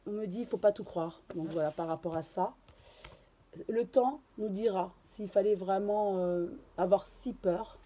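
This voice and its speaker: A woman talks through a card reading in a voice made husky and hoarse by a cold. Her tone is very dark and mellow. She hesitates often and restarts her phrases. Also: medium pitch. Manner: hesitant, many fillers and restarts. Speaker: card reader and channeler. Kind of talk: casual tarot reading to camera.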